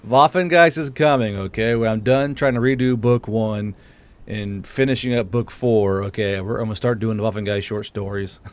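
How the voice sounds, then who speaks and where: A man's voice: fairly low pitch, fairly even intonation, moderate pace, smooth. A man who hosts a story channel, speaking as the narrator of fantasy audiobook tales.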